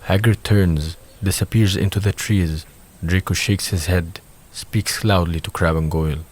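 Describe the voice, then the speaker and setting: A man narrating a scripted audio drama in a measured, descriptive manner. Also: low-pitched, steady tone, darkish tone.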